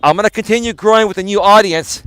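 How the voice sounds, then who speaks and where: A man's voice: high voice, fast talker, fairly bright tone, clean tone. Tired, emphatic male streamer. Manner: emphatic, rambling, addresses viewers as folks. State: tired, slightly hoarse. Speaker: life coach and live streamer. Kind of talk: casual live stream talk to chat. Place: outdoors, walking at dusk. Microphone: phone camera held while walking.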